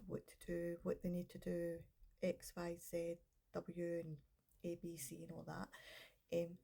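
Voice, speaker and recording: Woman, middle-aged, bunged up with a cold, low gravelly husky voice, rambling casual coaching chat. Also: dark tone. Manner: rambling, chatty, self-interrupting. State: congested with a cold. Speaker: business coach and counsellor. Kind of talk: casual vlog talk to camera.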